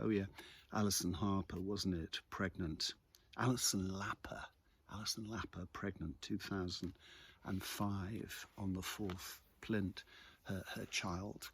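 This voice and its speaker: Slow, deep, husky older man. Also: a bit bright, a little hiss or hum. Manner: hesitant, rambling, reflective. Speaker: local news vlogger. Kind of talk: casual monologue about local events. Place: outdoors in a quiet churchyard.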